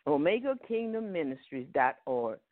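Southern male preacher, slightly high voice, tinny phone-line audio, dry sound. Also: sing-song, normal speed, dry recording. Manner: peppers speech with "Amen" and "Hallelujah". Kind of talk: Bible teaching sermon.

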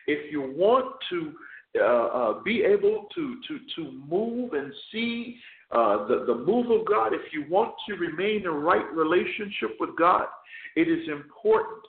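A man preaching a radio sermon as chaplain and host, hoarse and losing his voice, often stopping to restart or repeat words. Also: high-pitched. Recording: tinny phone line, echoey.

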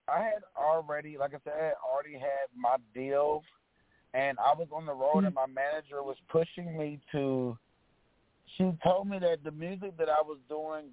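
A man with a raspy voice, a rapper guest, telling stories in a relaxed, casual way with lots of fillers. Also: a little high, no echo. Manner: relaxed storytelling with many fillers. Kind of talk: casual interview chat. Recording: tinny phone-line sound.

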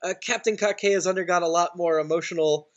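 A man's voice: high voice, fairly even intonation, fast. A man being interviewed as a guest, explaining things casually over a slightly lo-fi line. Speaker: interview guest, writer and performer.

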